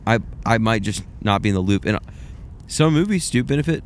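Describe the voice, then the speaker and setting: A man's voice: on the low side, expressive, fairly quick, a little hiss or hum. A young man co-hosting a casual film podcast, rambling and hedging as he gives his take. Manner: rambling, hedging, self-deprecating. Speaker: podcast co-host. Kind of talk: casual film review chat.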